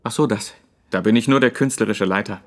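An actor playing a vain widowed stage actor in a radio play, evasive and defensive while keeping up a smooth front. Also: male voice, lowish voice, fairly quick, close to an everyday voice. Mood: guarded.

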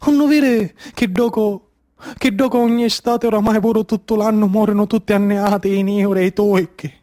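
A man with a Sicilian accent performing a dramatic storytelling monologue in a declamatory, incantatory way with deliberate, repeated phrasing. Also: high voice, steady tone, dark tone. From Sicily, Italy. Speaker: stage storyteller, actor.

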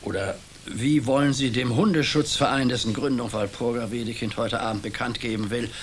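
An actor playing a worried small-town mayor in a radio play, hesitant and ponderous. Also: male voice, medium pitch, fairly even intonation, fairly quick, a little hiss or hum, natural voice. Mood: fretful.

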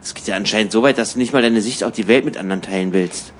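Fast-talking man with probing questions. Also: on the low side, a little hiss or hum.

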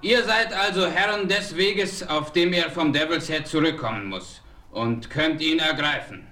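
A formal, dignified chief. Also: male voice, a little high, unhurried, bright, forceful tone, roomy sound. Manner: formal, ceremonial speech. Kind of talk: 1970s radio play acting.

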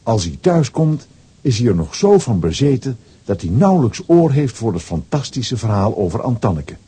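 A man with a very husky, mellow voice narrates a children's story. His delivery is expressive, moving up and down as he tells it like a radio play storyteller. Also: mid-range voice, normal speed.

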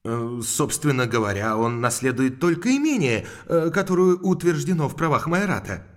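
A man's voice: medium pitch, lively intonation, normal speed, close to an everyday voice. Man as mild country doctor: formal, precise, slightly hesitant, very varied intonation, period radio play. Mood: earnest, explanatory. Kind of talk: audio drama acting.

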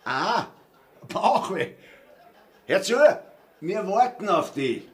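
An actor playing a gruff, bossy village pub regular in Austrian dialect, talking bluntly for a radio drama. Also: male voice, high voice, very animated, slow, exaggerated voice. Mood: impatient, calling out. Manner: colloquial, blunt.

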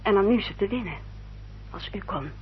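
A woman acting an earnest, dutiful young upper-class lady in a radio drama, speaking formally and with careful, measured diction. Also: medium pitch, expressive, lightly acted. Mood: solemn, composed. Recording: dull, noisy old radio recording with hum.

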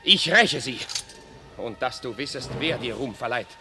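An actor playing a proud defeated enemy commander in a radio play, declaiming verse with passion. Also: male voice, mid-range voice, lively intonation, normal speed, natural voice. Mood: defiant. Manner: impassioned, declamatory verse, swinging from defiance to tenderness.

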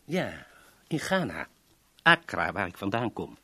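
A man's voice: fairly low pitch, huge pitch swings, unhurried, bright, forceful tone, breathy edge, heavily acted. An actor playing a pushy, florid salesman from Ghana in a 1970s radio play, wheedling and theatrical. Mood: pushy, boastful.

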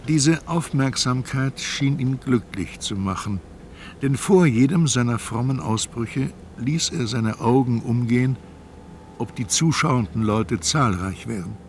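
A man playing a solemnly resigned, doomed fat man in a literary radio drama, speaking in a deliberate, formal, carefully articulated way. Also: mid-range voice, a little hiss or hum, lightly acted.

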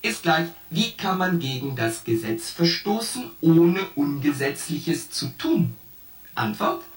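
A roomy, slightly distant voice over music a ship's artificial intelligence in a sci-fi radio play. It speaks slowly and deliberately, laying out cold logic point by pedantic point like a formal accusation. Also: medium pitch, very animated. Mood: methodically accusing. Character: shipboard artificial intelligence, coldly logical, methodically accusing the commander. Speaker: radio drama actor. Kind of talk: science fiction radio play dialogue.

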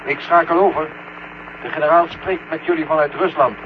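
Tinny radio-link official. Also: male voice, on the high side, fairly even intonation, normal speed. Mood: brisk. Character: businesslike male mission controller and general. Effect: radio link filter. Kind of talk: 1970 science-fiction radio drama acting. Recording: thin, noisy radio transmission.

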